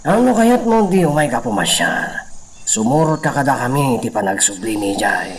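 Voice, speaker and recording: A man's voice: medium pitch, big pitch swings, moderate pace, slight background noise. A man with an Ilocano accent playing a resentful but deferential farmhand in a radio drama.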